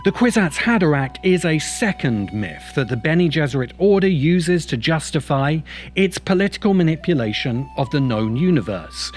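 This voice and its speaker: A man delivering scripted video essay narration in an emphatic, opinionated, rhetorical manner. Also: a little high. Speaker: host and writer of science fiction video essays. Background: background music.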